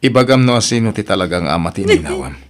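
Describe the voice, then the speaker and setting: Betrayed husband, Ilocano accent, radio drama. Low register, widely swinging delivery, wounded and angry. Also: male voice, lively intonation, quick pace. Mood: furious.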